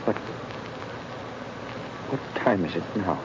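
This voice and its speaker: Anguished, swooping condemned prisoner. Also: male voice, sits low, animated, slow. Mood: despairing. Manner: anguished, bewildered, bitter. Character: despairing condemned man awaiting execution. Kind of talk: 1930s radio drama acting. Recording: muffled, noisy old radio recording.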